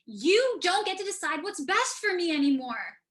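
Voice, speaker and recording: A woman's voice: very high voice, sing-song, moderate pace, a bit bright, slight background noise, exaggerated voice. A woman playing an exasperated, stubborn adult Indian American daughter in an emotional, argumentative staged reading. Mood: defiant, pleading. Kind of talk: staged reading over video call.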